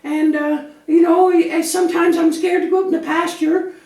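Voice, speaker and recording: An echoey, distant recording of a tiny lamb puppet with an extremely high voice. The little creature is naive and anxious, chattering earnestly and repeating itself as in a children's puppet show. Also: female voice, level tone, normal speed, mellow. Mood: anxious, worried. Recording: echoey room, distant microphone.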